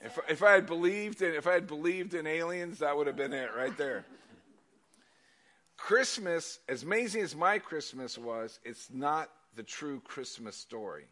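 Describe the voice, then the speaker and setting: An older man giving a sermon, telling stories loudly and animatedly with casual asides. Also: fairly high pitch. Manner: animated, loud storytelling with asides. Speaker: pastor.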